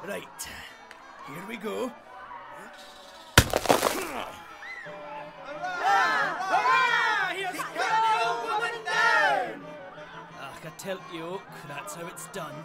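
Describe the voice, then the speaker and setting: An actor with a Scottish accent playing a boastful older pub landlord in an audio drama, crowing in drawn-out phrases, heard from far off. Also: male voice, very high-pitched, huge pitch swings, gravelly, full character voice. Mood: exultant chanting. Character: older slippery pub landlord, boastful ritual-chanting villager. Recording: distant voice amid crowd and music.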